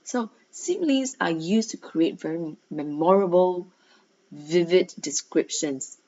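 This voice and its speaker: A woman's voice: lowish voice, unhurried, warm tone. A woman teaching a guided read-aloud lesson, asking questions and stressing key words as she explains. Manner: instructive, rhetorical questions with emphatic key words. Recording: dull, lo-fi sound.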